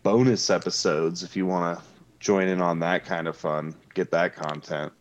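A man's voice: deep voice, fairly even intonation, normal speed. A man talking casually among friends as a member of a podcast crew. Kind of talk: casual group chat. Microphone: online voice chat.

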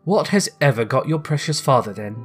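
A man's voice: medium pitch, animated, brisk, soft background music, close to an everyday voice. Storybook working-class mother, voiced by a man: affectionate, fussing, homely, bustling. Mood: impatient, bustling.

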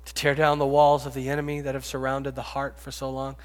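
A man in his fifties preaching a sermon, rising to loud pleading and dropping to hushed whispers. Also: mid-range voice, completely flat delivery, brisk. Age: 50s. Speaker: pastor. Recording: long reverb, echoey hall.

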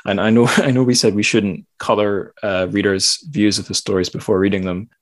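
A man's voice: lowish voice, fairly even intonation, fairly quick. A man hosting a podcast, casually discussing literature in a rambling, self-deprecating way as he thinks aloud. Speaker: podcast host.

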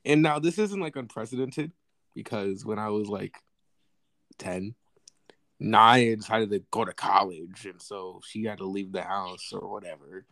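A man's voice: lowish voice, measured pace, creaky, a bit bright, no room sound. A young man co-hosting a casual sibling podcast, teasing and needling his sister in a mock-serious way. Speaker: podcast co-host. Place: apart from his co-host, remote recording.

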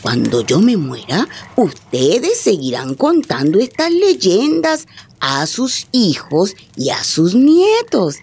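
A woman playing an old grandmother, tender but firm, telling a tale dramatically in a radio drama with a husky voice. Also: mid-range voice, huge pitch swings, darkish tone, a little hiss or hum, exaggerated voice. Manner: unhurried, dramatic oral storytelling.

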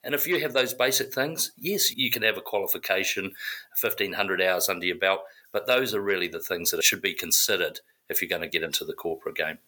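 A man's voice: low voice, a little fast, sharp tone. A man from New Zealand who grew up in South Africa, a corporate pilot answering interview questions conversationally. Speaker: corporate pilot, podcast guest.

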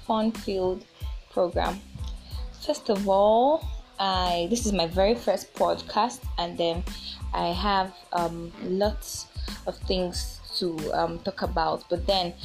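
A woman in her twenties with a Nigerian accent, talking informally as a solo podcast host in a chatty, halting, repetitive way. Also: fairly low pitch. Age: 20s.

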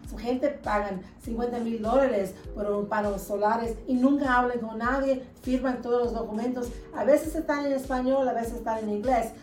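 A woman in her fifties with a fairly high voice speaks conversationally, mixing in English words. She sits a little away from the mic in a very echoey room, with music underneath. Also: fairly even intonation, moderate pace, hardly any pauses, darkish tone, recorded from a distance. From United States. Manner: mixes English words into Spanish. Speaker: lawyer, podcast guest. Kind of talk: conversational interview answers.

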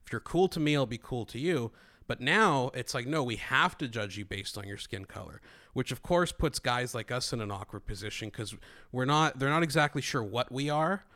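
A man's voice: mid-range voice, lively intonation, moderate pace, bright, forceful tone. Emphatic man. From Greece. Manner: emphatic, repeating words for agreement. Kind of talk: casual political conversation.

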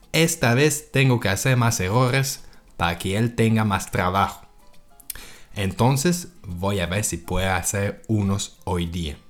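A man with a lowish voice talks through a relaxed podcast monologue at an unhurried pace. He corrects himself as he goes and keeps checking in with a questioning "no?". Faint music sits quietly behind him. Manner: slow, self-correcting, often adds a questioning "no?". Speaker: podcast co-host. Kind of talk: casual podcast monologue for Spanish learners.

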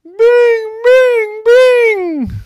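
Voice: very high-pitched, drawn-out words, a little mellow, dry recording, clean studio sound, voice pushed up, full character voice. A storyteller voicing a girl whose speech has become piano notes, chanting ringing syllables in a children's story. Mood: frantic.

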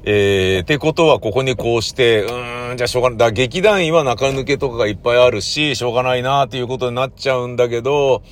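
A man's voice: mid-range voice, quick pace, few pauses, a little hiss or hum. A man talking casually and at length as a playwright recounting his work, in a discursive, emphatic, colloquial way. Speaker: playwright and troupe leader.

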